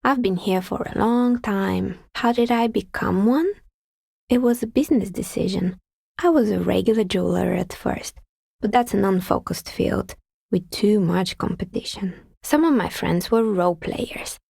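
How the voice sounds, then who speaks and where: An actress playing a wry, world-weary artisan dicemaker in a video game, speaking in a dry, understated, matter-of-fact way with a husky, breathy voice. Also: female voice, medium pitch, moderate pace, darkish tone, no echo. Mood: calm, assured. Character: wry, world-weary woman artisan who makes novelty dice. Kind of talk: video game character dialogue.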